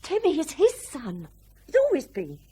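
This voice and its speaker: A woman's voice: sits high, huge pitch swings, moderate pace, dark tone. An actress in a radio drama playing a bitter, trapped wife and mother, fed up and scornful. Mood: resentful, defiant.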